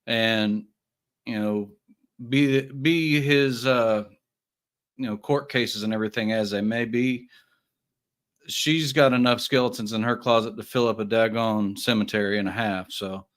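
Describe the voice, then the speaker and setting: A man's voice: mid-range voice, fairly even intonation, a little slow. A man giving his opinions as a guest in a casual chat, with folksy, slangy phrasing. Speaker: guest caller.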